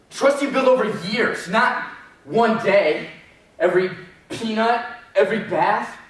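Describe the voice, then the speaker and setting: A man playing a possessive, brooding circus elephant trainer in a stage monologue with dramatic pauses, heard from far off in an echoey space. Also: high-pitched, fairly bright tone, full character voice. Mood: earnest, wounded. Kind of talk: theatrical monologue, readers theater.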